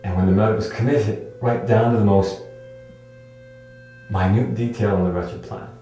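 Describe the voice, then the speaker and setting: A man acting as a guilty writer confessing in a period drama. His very low voice is halting and rueful, heavily echoed, with clear music underneath. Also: steady tone, dark tone, close to an everyday voice. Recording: heavy echo under guitar music.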